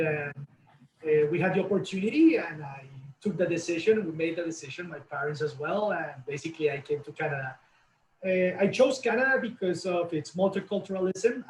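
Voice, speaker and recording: A man's voice: sits high, darkish tone, slight background noise. Colombian-accented man, echoey room. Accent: Colombian Spanish. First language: Spanish. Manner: friendly, eager, quick to agree. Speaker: college representative. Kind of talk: webinar interview.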